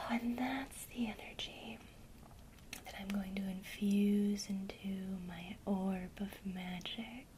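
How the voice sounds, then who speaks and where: Slow, gentle whispering woman. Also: medium pitch, fairly even intonation, background noise. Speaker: ASMR creator teaching witchcraft. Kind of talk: whispered ASMR lesson.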